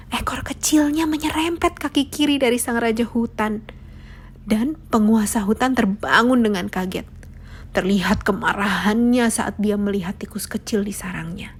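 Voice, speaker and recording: A woman storyteller reads a children's fable. Her voice sits fairly high and has a soft husky edge. The delivery is scripted narration for young listeners.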